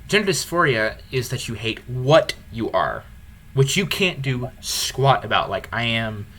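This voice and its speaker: A man hosting a podcast, talking earnestly and candidly about his faith in a deep low baritone, often restarting his phrases. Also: big pitch swings, measured pace, a bit bright. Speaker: podcast host. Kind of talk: earnest conversational podcast talk.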